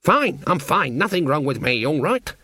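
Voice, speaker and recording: A man's voice: fairly high pitch, big pitch swings, normal speed, bright, forceful tone, husky, no room sound, heavily acted. A stern old woman witch from a storybook, acted out by a male narrator. She is proud and stubborn, talking in blunt, morose rustic phrases, recorded close and dry.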